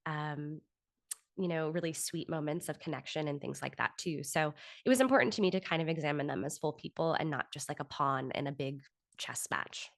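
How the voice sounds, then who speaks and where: A woman in her thirties with a low-pitched voice talks as a guest in an interview. She is articulate and reflective, laying out her thoughts at length in a conversational way. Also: fairly quick, creaky. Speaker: interview guest, author and actor.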